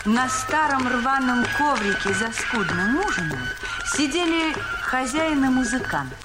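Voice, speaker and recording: A woman narrating an audio fairy tale in a measured storytelling manner. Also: high-pitched, very animated. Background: music.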